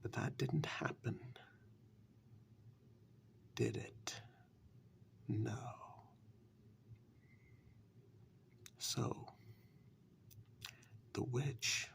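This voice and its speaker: A man playing a sly, condescending warlock in a soft-spoken ASMR dark fairytale role-play, patronising and deliberate, with long pauses. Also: medium pitch, steady tone, full character voice. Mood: amused, condescending. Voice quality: soft, breathy half-whisper with gravelly creak.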